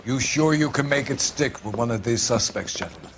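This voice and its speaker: An actor playing a gruff, commanding older police captain in a video game, grandiloquent and menacing. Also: male voice, mid-range voice, a little fast, a bit bright, slight background noise, natural voice. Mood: grim, commanding. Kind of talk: dramatic video game acting.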